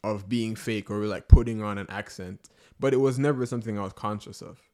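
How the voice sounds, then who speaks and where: A man's voice: fairly low pitch, fairly quick, soft background music. A man hosting a casual podcast chat with friends, telling stories with acted-out dialogue and frequent laughter. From not Nigeria. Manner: animated storyteller, acts out dialogue, laughs often. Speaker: podcast host.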